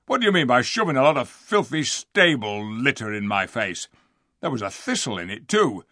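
A man voicing a surly, suspicious dwarf in a storybook reading, gruff and blustering as he grumbles in colloquial speech. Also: mid-range voice, sing-song, normal speed, pushed and bright, dry recording, clean studio sound. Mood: angry, defiant. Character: surly, defiant, suspicious dwarf, scornful and rude.

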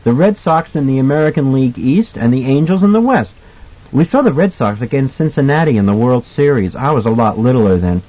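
Man voicing an earnest, innocent little boy, lifted pitch. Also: lively intonation, brisk, dark tone, lo-fi sound, natural voice. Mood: eager, candid. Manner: polite, innocent, childlike. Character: earnest, puzzled little boy with psychic gift. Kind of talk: audiobook character acting.

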